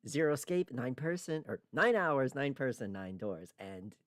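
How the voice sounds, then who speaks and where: A man hosts a casual livestream in an informal, sweary way. His pitch moves around a lot as he talks. The sound is dry, with no room on it. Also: expressive, moderate pace, no room sound. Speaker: streamer and host.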